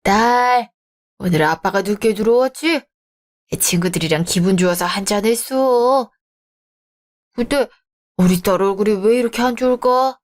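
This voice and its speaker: A supportive father of the bride, voiced by a performer in a radio drama. He is gentle and reassuring, a dad who always takes his daughter's side. His advice comes out calm and plain. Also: high-pitched, normal speed, pauses often, lightly acted.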